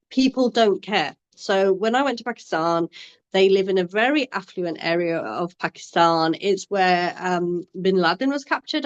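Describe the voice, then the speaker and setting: A woman's voice: medium pitch, dull audio. A woman from Bangladesh who supports abuse survivors, talking earnestly and emphatically, often restarting her phrases. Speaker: domestic abuse support worker. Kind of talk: passionate discussion.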